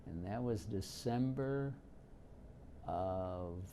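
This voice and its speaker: A man in his seventies from Detroit, whose first language was Yiddish, recalling his past in an unhurried oral history interview with frequent fillers. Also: lowish voice, dark tone, slight background noise. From Detroit, United States. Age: 70s.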